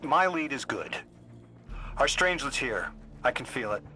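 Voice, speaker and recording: A man's voice: medium pitch, expressive, normal speed, bright, forceful tone, soft background music. An actor playing a cocky, driven young male intelligence operative in a video game, urgent and confident. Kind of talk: video game dialogue acting.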